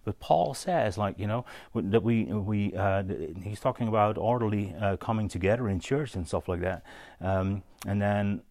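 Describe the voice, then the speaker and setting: A man giving an informal, story-led sermon as a preacher, halting and conversational, often checking in with his listeners. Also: fairly low pitch, darkish tone, no room sound. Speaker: preacher teaching on spiritual gifts.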